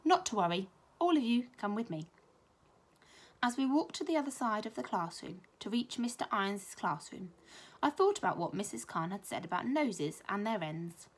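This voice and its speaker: A British woman, a primary school teacher, reading a children's story aloud with expressive narration. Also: mid-range voice, slightly rough.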